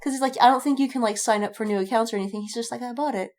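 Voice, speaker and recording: A woman's voice: fairly high pitch, very fast, a little mellow. A woman co-hosting a podcast, chatting casually and retelling stories with mock-dramatic acted-out quotes. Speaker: podcast co-host. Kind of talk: casual fan chat, animated retelling.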